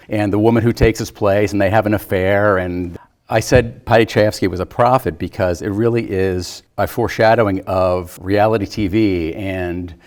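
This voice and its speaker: Middle-aged man with a lowish voice. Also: a little mellow. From Philadelphia, United States. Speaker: guest, local newspaper employee. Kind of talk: casual film discussion.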